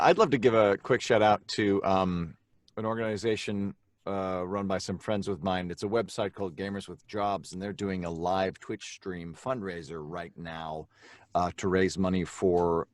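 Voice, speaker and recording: A man giving an informal shout-out with hesitant, unscripted phrasing. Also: low-pitched, no room sound, studio quality. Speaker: guest performer. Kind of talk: casual spoken shout-out.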